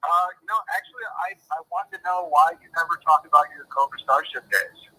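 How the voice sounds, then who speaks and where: A man's voice: fairly high pitch, a little fast, sharp tone, husky, poor audio. A man calling in by phone to a show, asking casual questions a little hesitantly. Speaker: caller. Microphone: phone call.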